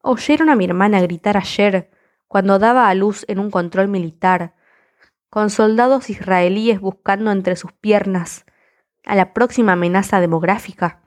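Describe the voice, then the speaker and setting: A woman reciting spoken word poetry in a defiant, impassioned, rhythmic delivery. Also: medium pitch.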